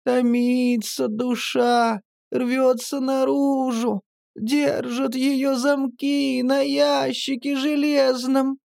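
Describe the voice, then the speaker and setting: A storyteller voicing a dead grandmother's ghost, pleading slowly and drawn out through headphones. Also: high-pitched, level tone, creaky, darkish tone, dry recording, clean studio sound, voice pushed up, exaggerated voice. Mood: pleading, eerie. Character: ghostly grandmother calling from beyond the grave.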